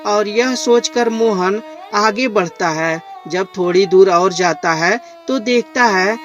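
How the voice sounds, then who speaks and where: A woman's voice: medium pitch, takes it slowly, lo-fi sound. A woman narrating a scripted children's moral story. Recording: music behind the voice.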